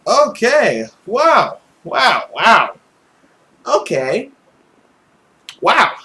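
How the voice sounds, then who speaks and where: Animated man narrating a visual novel. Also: sits high, extremely slow. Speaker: let's player and narrator. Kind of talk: dramatic reading of a visual novel with commentary.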